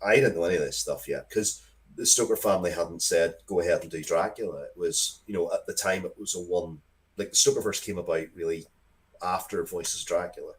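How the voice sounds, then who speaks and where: Deep voiced guy being interviewed, writer type, chatty and rambly, gets excitable, repeats himself. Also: fairly quick. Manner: rambling, excitable, repeats phrases, swears casually. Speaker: interview guest, writer of comics and audio drama. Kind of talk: casual interview chat, anecdotal storytelling.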